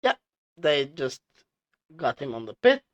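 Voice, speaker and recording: Halting non-native English man commentating, higher-pitched. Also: sing-song, lo-fi sound. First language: non-native English speaker. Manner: halting, repetitive explaining. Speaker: host reviewing team radio clips. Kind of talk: casual commentary.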